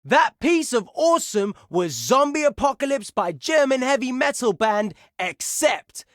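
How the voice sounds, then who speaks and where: Excitable male metal DJ, video game radio station, punchy showy announcing, swooping intonation, unhurried pace. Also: extremely high pitch, dry recording, clean studio sound, heavily acted. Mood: upbeat. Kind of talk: radio DJ announcing songs and listener requests.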